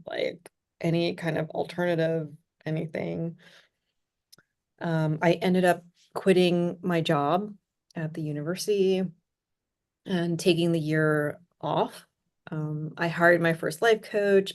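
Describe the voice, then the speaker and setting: A woman from Hong Kong hosting a conversational interview, reflective and full of "you know" and "right?". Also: lowish voice. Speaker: podcast host.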